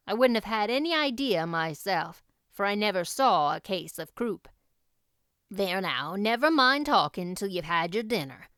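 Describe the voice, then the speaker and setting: A woman voicing a brisk, caring but no-nonsense older farm woman in an audiobook, matter-of-fact and dryly scolding. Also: medium pitch, expressive, fairly bright tone, smooth, no echo, studio quality. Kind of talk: audiobook character voice.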